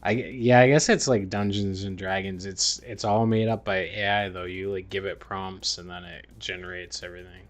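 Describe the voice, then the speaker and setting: A man's voice: sits low, steady tone, normal speed, hardly any pauses. A man hosting a game livestream, bantering casually and in slang with his chat in a laid-back manner. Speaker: livestream host.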